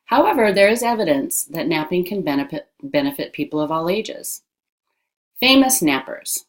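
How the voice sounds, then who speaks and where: A woman reading an educational text aloud as scripted narration, with careful, deliberate enunciation. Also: low-pitched.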